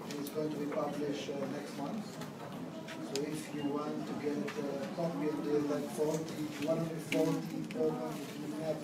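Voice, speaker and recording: A man opens a panel session, hesitant and stammering as he restarts his sentences. His voice is flat and a little high. He is picked up from a distance in an echoey hall over loud background noise. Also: moderate pace. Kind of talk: opening remarks. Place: event hall.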